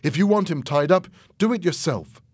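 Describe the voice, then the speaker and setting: A man's voice: fairly high pitch, expressive, moderate pace, husky, no echo, clean studio sound, pitched above the performer's own voice. A man acting a reluctant pilot in a story reading, with a plain, grudging manner. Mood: defiant. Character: reluctant, unwilling male pilot caught between two gunmen.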